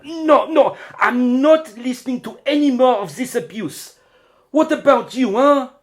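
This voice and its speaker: A man playing a defensive, evasive crook and quarrelling lover in a radio drama, with an excitable manner. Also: extremely high pitch, a little slow. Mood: angry, exasperated.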